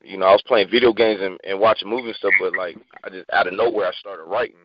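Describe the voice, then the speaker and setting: A man's voice: deep voice, steady tone, fast, no room sound, lo-fi sound. A man in his thirties from Virginia, chatting casually as a guest poet and often repeating himself. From Virginia, United States. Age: 30s. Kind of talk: casual interview chat.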